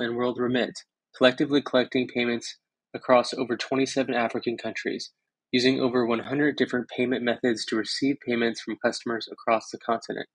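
A man hosting a podcast, reading a guest introduction and then asking questions in a measured way with hesitant fillers. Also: medium pitch, husky, no echo, poor audio. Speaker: podcast host. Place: Mauritius.

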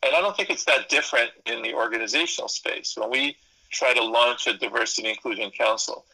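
A man's voice: mid-range voice, fairly quick, vocal fry, pushed and bright, husky, rough recording. A man whose first language is Spanish, a psychology professor and consultant, explaining ideas in a thoughtful interview, stacking up lists and correcting himself as he goes. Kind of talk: interview answers. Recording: radio interview.

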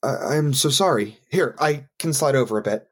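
A man's voice: medium pitch, moderate pace, close to an everyday voice. A man acting in an audio play as an eager new colleague, chatty, polite and apologetic. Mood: chatty, awkward.

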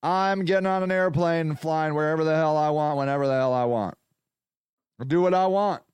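A man hosting a podcast in a loud, profane, ranting monologue. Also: on the high side, fairly quick, clean tone, no room sound. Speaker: podcast host. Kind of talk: casual monologue.

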